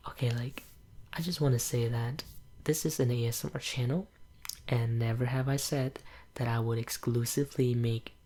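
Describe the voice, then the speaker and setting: A young woman whose first language is Cantonese, chatting in a soft ASMR whisper at home, rambling with lots of fillers. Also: a bass voice. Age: young adult. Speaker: ASMR channel creator. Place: home room with green screen.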